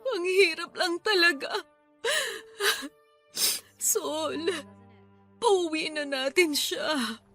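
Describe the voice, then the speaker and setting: Grieving filipino lola for radio drama, high voice, emotional n weepy, bit of music behind. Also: female voice, extremely slow, pauses often, a bit bright, heavily acted. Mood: grief-stricken. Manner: tearful, emotional delivery. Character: grieving elderly grandmother, tearful, mourning her daughter. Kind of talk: Tagalog radio drama acting.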